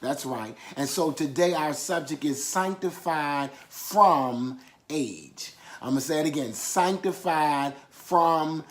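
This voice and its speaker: A man's voice: a little high, slow. A man preaching a sermon, repeating key phrases for emphasis and calling on listeners to affirm after him. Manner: emphatic repetition with call-and-response affirmations.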